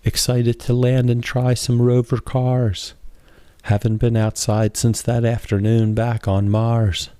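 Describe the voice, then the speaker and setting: A man's voice: medium pitch, little pitch movement, slow pace, mellow, clear, resonant tone, no room sound. A man reading his own rhymed spoken-word verse with a rhythmic, rhyme-driven delivery. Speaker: author and poet reading own work.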